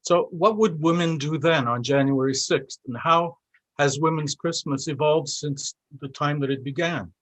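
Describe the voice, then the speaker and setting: A man's voice: on the high side, normal speed, a bit bright. A man acting as interviewer, giving prepared introductions and questions in a formal, courteous manner. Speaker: interviewer, festival president.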